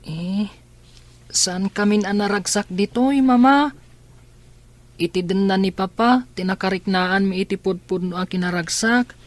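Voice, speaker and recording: A woman's voice: medium pitch, unhurried, dry recording, close to an everyday voice. A Filipino woman playing an unhappy, reluctant young son in a radio drama. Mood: reluctant, upset.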